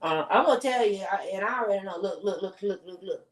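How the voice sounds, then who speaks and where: A woman with a loud, strong voice, chatting bluntly and emphatically as a podcast co-host. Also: mid-range voice, quick pace. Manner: blunt, emphatic, repeats herself. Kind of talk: casual chat. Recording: echoey room, far from mic.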